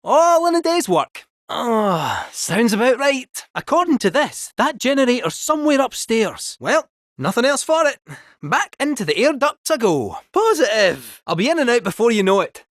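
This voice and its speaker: A man's voice: very high-pitched, sing-song, normal speed, no echo, clean studio sound. A man voicing a chatty male robot cat fortune-teller with a Scottish accent, cheeky and folksy, full of rhyming quips. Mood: playful bravado. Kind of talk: video game voice acting.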